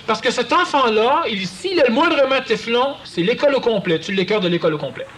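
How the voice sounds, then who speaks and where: Québécois male speaker, high and highly expressive, conversational lecture with faint room noise. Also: brisk. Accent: Quebec French. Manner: animated, acts out quoted voices. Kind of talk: conversational talk to an audience.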